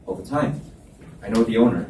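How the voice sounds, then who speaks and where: A reserved, analytical young college man played by a male narrator talks in a measured, slightly hesitant way. His voice is lowish and rather dark, heard across an echoey room. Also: lively intonation, lightly acted. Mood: curious, pressing. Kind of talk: live dramatic reading of romance fiction dialogue. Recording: echoey room, distant mic, background noise.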